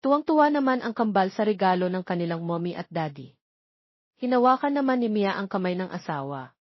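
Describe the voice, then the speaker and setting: A woman's voice: medium pitch, lively intonation, a little fast, dry recording. Dry, slightly muffled Filipino woman narrator. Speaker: audiobook narrator. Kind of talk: scripted narration of a romance story.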